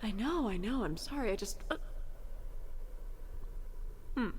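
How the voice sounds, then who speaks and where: Playful space commander lady, highish lively voice, takes her time, big echoey hall. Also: unhurried. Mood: nonchalant, teasing. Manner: mock-grand, playful, nonchalant. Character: playful female space commander acting as magician's assistant. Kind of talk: science fiction audio drama acting. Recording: long reverb, faint noise.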